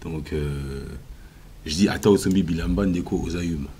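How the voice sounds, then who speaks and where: A man answering questions as a musician guest in a TV interview, confident and emphatic, with frequent filler pauses. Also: deep voice, dark tone, slight background noise. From Congo.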